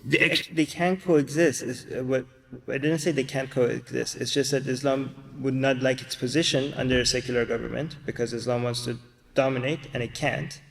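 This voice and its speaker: A man on a university panel makes his point firmly, his voice gravelly with fry. He holds a flat, even pitch. A long hall reverb follows his words. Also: normal speed. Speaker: panel speaker. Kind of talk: panel discussion answer.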